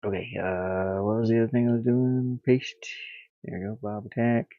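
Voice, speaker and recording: A man thinking aloud on a casual livestream as he works, muttering in a halting, absent-minded way. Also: lowish voice. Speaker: livestreamer, hobby game developer. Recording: boxy echo, thin phone-like sound.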